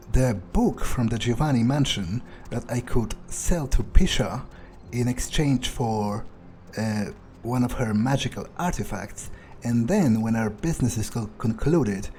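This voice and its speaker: A man playing a cold, vengeful vampire obsessed with his authority, improvising deliberately and wryly in character for a game playthrough. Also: mid-range voice, a little mellow. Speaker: gameplay roleplayer.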